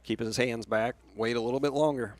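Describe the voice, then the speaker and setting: American guy doing baseball color commentary, talks quick and flat, plainspoken coach type. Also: mid-range voice. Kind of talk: analytical baseball commentary, conversational.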